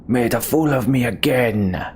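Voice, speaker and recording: A man voicing a vengeful warrior consumed by rage, speaking slowly with cold menace. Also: medium pitch, slight background noise, pitched above the performer's own voice, natural voice. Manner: cold, threatening, slow.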